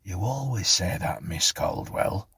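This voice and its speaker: A man voicing a kindly, weary policeman in a storybook, blunt and gently scolding. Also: fairly low pitch, lively intonation, unhurried, slightly rough, no echo, exaggerated voice. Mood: concerned. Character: kindly, weary male policeman on night beat.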